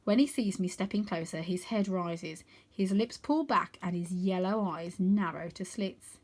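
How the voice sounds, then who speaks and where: A woman reading a children's story aloud in an expressive narrating style. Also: on the low side, a little slow. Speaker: storybook reader.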